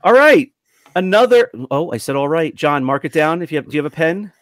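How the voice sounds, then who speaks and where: Upbeat guy hosting a podcast, swoopy lively pitch, dry close sound. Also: brisk, no room sound. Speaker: podcast host. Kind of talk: lively casual group chat about toys. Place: home room on a video call.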